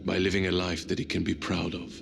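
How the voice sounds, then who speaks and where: An actor playing a reflective, hopeful widowed space crewman in a cinematic game, speaking with restraint in a low gravelly voice. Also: completely flat delivery, fast, music in the background. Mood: wistful, sincere. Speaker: video game character, spacecraft crew member.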